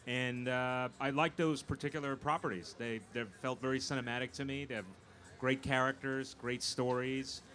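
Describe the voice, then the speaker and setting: A man speaking as a film producer guest in a live panel interview, pausing and repeating words as he thinks. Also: medium pitch, little pitch movement, a bit bright. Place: live convention stage. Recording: noisy live event room.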